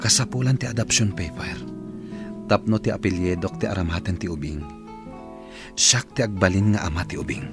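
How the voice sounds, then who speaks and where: A man's voice: low voice, normal speed, long pauses, over music, close to an everyday voice. A man with an Ilocano accent playing a devoted, generous suitor in a radio drama, speaking tenderly and earnestly.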